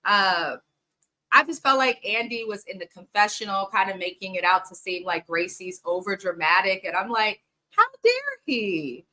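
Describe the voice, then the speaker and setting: A woman's voice: medium pitch, normal speed, sharp tone, poor audio. A woman hosting a casual, frank reality TV recap, chatting straight to her viewers and sniffly from allergies. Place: home in the Bay Area.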